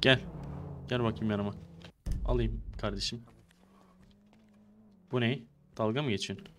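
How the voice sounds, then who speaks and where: A man's voice: lowish voice, fairly even intonation, a little slow, fairly bright tone, slight background noise. A man improvising roleplay banter in an online game, repeating short phrases insistently. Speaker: streamer playing a fake car dealer.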